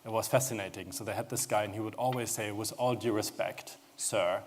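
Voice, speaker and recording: A man's voice: on the low side, steady tone, a little fast, a little hiss or hum. A man with a German accent, speaking as a panelist and telling a story with many restarts. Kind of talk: panel discussion.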